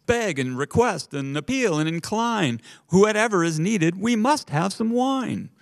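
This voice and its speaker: A man's voice: high-pitched, lively intonation, unhurried, creaky, no echo, studio quality, pitched above the performer's own voice, full character voice. Man voicing a chorus of frantic waiters. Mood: panicked begging. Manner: frantic, begging. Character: panicked chorus of male waiters.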